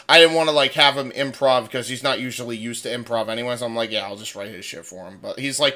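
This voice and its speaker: A man's voice: medium pitch, pushed and bright. A man hosting a livestream, explaining his editing in an informal, rambling, stop-start way. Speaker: livestream host and audio creator. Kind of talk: casual behind-the-scenes commentary.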